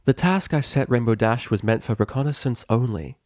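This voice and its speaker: A man's voice: mid-range voice, a little fast, a little mellow, no room sound, clean studio sound, close to an everyday voice. A man voicing a stern, regal female pony ruler and mentor in a story reading. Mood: firm, worried. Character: stern regal mare princess, mentor ruler, angry then motherly.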